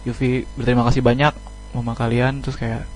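Guy in a band doing a casual radio interview, kinda flat voice, humble, music and hum behind. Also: mid-range voice, fast talker. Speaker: band member being interviewed.

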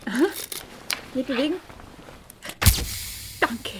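A woman's voice: high-pitched, slow pace, noisy background. An actress playing an eager young woman photographer from Munich in a German radio play. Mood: busy, directing. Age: young adult.

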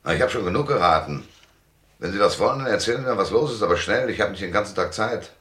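An actor playing a brusque, wry private detective in a German radio drama, with a sardonic, curt delivery. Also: male voice, lowish voice, animated, fast, a bit bright, reverberant. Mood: impatient. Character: Marlow, brusque, wry, hard-boiled male private detective.

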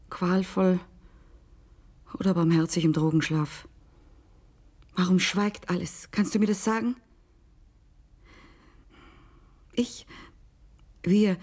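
An actress playing a bitter, despairing woman activist in a radio drama, with a husky, breathy voice and fragmented, incantatory phrasing. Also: low-pitched, mellow, full character voice. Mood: anguished.

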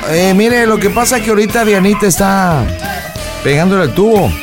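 A man's voice: high-pitched, brisk, over music. A Mexican man hosting a prank call show, improvising comic banter in a mocking, teasing, slangy way.

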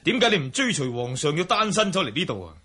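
A man's voice: a little high, big pitch swings, quick pace, sharp tone, husky, heavily acted. A man playing a righteous martial-arts hero in a historical radio drama, declaiming with scorn for corrupt courtiers. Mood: mocking.

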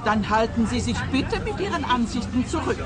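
An actress playing a haughty, gushing art expert in a radio play, pompous and condescending. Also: female voice, on the high side, sing-song, normal speed, a loud crowd.

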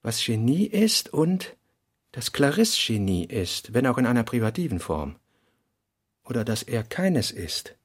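A man narrating a literary radio drama in a deliberate manner, with a gravelly voice. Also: medium pitch, very animated, dry recording, studio quality.

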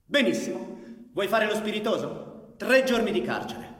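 A man's voice: sits high, animated, normal speed, a bit bright. A man playing a stern, sarcastic French army officer in a radio drama, barking clipped orders. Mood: stern, mocking. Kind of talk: stage-style radio drama acting. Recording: echoey hall reverb.

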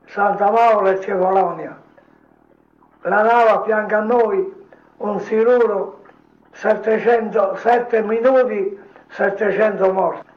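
An elderly man, a grandfather, informally recounting his memories as a veteran in short, halting phrases. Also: high voice, echoey room, muffled recording. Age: older, a grandfather. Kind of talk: informal spoken reminiscence.

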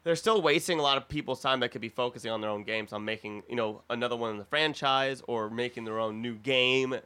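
A man's voice: mid-range voice, fast, sharp tone, clean tone. A man co-hosting a casual panel discussion, talking in an opinionated way and swearing casually. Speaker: podcast co-host.

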